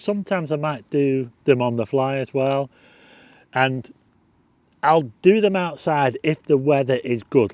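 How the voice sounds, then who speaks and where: A Northern English man hosting a live stargazing stream outdoors at night, chatting casually, reading out viewer names for a quiz, his voice a little croaky. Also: medium pitch, darkish tone, no room sound. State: slightly croaky. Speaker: astronomy livestream host.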